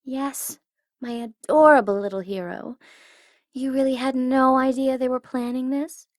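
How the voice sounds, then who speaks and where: A woman's voice: sits high, fairly even intonation, no room sound, studio quality, voice pushed up, close to an everyday voice. A woman playing a taunting, coy and commanding supervillain who is secretly smitten, in an ASMR audio roleplay. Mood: sly, persuasive. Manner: taunting, coy, commanding, with drawn-out deliberate phrasing. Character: teasing, menacing tsundere female supervillain, secretly smitten.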